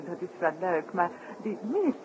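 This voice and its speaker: A woman's voice: on the low side, sing-song, a little slow. A woman playing a coquettish, self-assured trapeze artist in a radio drama, talking in a teasing, languid way. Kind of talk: old Dutch radio drama acting. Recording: dull, noisy old radio recording.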